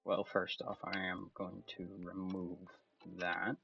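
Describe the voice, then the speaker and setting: A man's voice: low voice, completely flat delivery, takes it slowly, gravelly, muffled recording, soft background music. A man giving casual commentary while playing a game, thinking aloud as he goes. His voice is starting to tire. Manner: thinks aloud, meandering and self-talking. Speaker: let's play gamer.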